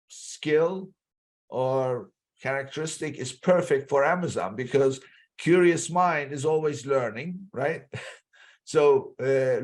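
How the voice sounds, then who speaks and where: A man hosting a podcast interview, asking friendly questions with halting restarts. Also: a little high, a little mellow. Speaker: podcast host. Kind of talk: interviewing a guest.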